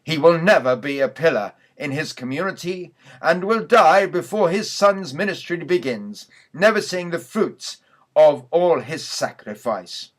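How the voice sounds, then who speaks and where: A man's voice: a little high, expressive, a little slow. A man reading a scripted mock news bulletin as the newsreader in a church sketch.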